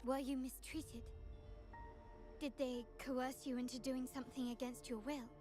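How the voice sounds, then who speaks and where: A poised young heiress in a video game. Her voice sits a little high, and she speaks formally with calm resolve while game music runs underneath. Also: unhurried. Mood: resolute. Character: poised young noblewoman, family heir. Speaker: game character.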